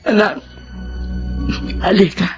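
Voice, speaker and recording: A woman acting a tender, ailing mother in a Filipino radio drama, speaking in a halting, weary, emotional way. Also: over music, natural voice.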